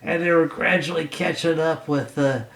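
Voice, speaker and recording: A man improvising a bedtime story as a father would for his child, in simple, playful, halting narration. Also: on the high side, fairly even intonation, a bit bright. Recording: roomy home recording.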